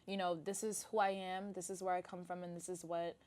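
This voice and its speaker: A woman's voice: on the low side, flat delivery, brisk, a little mellow. A young woman co-hosting a casual talk show, reflective and a little searching as she talks. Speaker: podcast co-host. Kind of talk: casual discussion.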